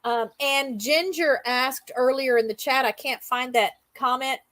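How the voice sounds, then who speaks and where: High-voiced woman interviewer. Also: a little slow, fairly bright tone, no room sound. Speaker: interviewer, livestream host. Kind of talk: casual livestream interview.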